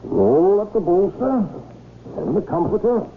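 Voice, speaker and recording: Anxious scheming gentleman, highish swooping voice, formal halting delivery, old-time radio drama, muffled lo-fi recording. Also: very animated. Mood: secretive, commanding. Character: nervous, secretive gentleman with a scheming resolve. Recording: lo-fi radio broadcast, faint noise.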